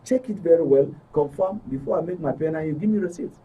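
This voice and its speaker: A man's voice: a little high, fast, breathy edge. A man with a Nigerian accent giving a conversational personal account, repeating and rephrasing for emphasis. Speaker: studio guest. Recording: dull distant mic, faint background noise.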